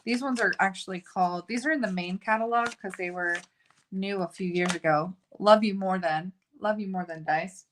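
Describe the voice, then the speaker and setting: A woman's voice: mid-range voice, moderate pace, fairly bright tone, slight background noise. A woman hosting a casual live card-making tutorial, chatting as she works and joking about her own slips. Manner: chatty, self-narrating, laughs at own slips.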